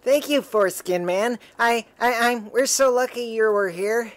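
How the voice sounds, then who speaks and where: A woman's voice: a little high, a little slow, dry recording, natural voice. A woman voicing an anxious, tender young woman from a comic book, with melodramatic delivery. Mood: grateful.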